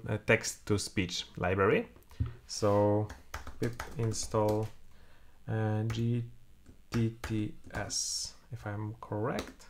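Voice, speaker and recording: Low, gravelly Polish-accented man. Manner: thinks aloud while typing, many "uh" fillers. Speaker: programming tutor. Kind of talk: screen-recorded coding tutorial. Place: home room, indoors.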